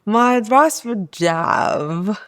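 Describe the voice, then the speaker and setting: A young woman with a low voice she calls masculine, a guest giving earnest, encouraging advice in a casual podcast chat. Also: expressive, extremely slow.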